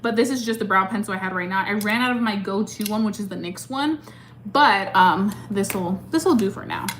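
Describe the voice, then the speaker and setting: A woman's voice: mid-range voice, moderate pace, fairly bright tone, a little hiss or hum. A woman in her twenties chatting casually while doing her makeup and answering live chat, upbeat, with plenty of "like" and "um". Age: 20s. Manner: chatty and upbeat, filler-heavy with many "like" and "um".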